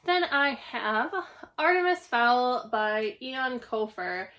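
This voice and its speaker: A young woman with a slightly hoarse voice, recovering from a cough, chatting casually at home about a stack of books. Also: a little high, lively intonation, slow pace, a bit bright. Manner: chatty, self-deprecating, rambling. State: hoarse, getting over a cough. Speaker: book channel host. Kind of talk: casual book haul vlog. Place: at home, indoors.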